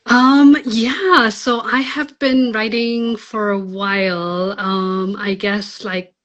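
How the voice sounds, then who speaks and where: A woman's voice: mid-range voice, fairly even intonation, takes it slowly, fairly bright tone. A woman from Pakistan, a novelist, talking about her writing in a casual interview, thoughtful and hesitant with many fillers. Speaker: novelist guest.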